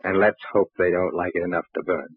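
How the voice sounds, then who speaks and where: An actor playing a shrewd, brusque homicide inspector in an old radio crime drama, clipped and commanding. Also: male voice, deep voice, fairly even intonation, fast talker, slightly rough, no room sound. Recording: muffled, band-limited old radio recording.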